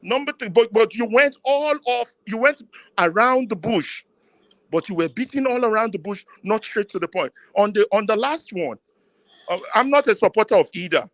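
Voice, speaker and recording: Male debater, high voice, emphatic and argumentative, dry sound. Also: animated, normal speed, fairly bright tone, dry recording. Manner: combative, rhetorical questions, emphatic repetition.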